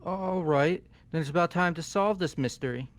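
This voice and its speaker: Brash teenage male, man performing, slightly high pitch, impatient, blunt, amateur game acting. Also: moderate pace, lightly acted. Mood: blunt, confident. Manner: blunt, gruff phrasing. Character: brash, foul-mouthed, impatient teenage tough guy.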